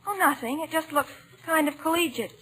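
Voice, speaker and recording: A woman's voice: high-pitched, fairly quick, sharp tone, low-quality recording. A woman playing a cheerful, teasing young niece in a 1940s radio comedy.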